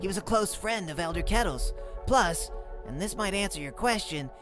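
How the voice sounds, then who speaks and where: A performer voicing an earnest, bookish young male cup creature in a comic dub, lecturing precisely and excitably. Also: medium pitch, moderate pace, music in the background, lightly acted. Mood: explanatory.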